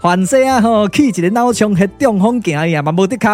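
An actor with a Taiwanese accent playing a comic, boastful, loyal sidekick in puppet radio drama, earthy and full of exclamations. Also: male voice, high voice, animated, fairly quick, darkish tone, faint music behind. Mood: amused, admiring. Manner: colloquial, exclamatory, drawling.

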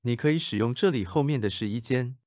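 A man reading short translated sentences for a language lesson in a brisk, even way. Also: mid-range voice, no echo, clean studio sound. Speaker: translation reader.

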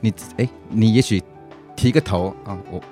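A man's voice: on the low side, moderate pace, warm tone. A man with a Taiwanese Mandarin accent in a casual interview as an activist guest, speaking earnestly and repeating himself for emphasis. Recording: music playing behind the voice.

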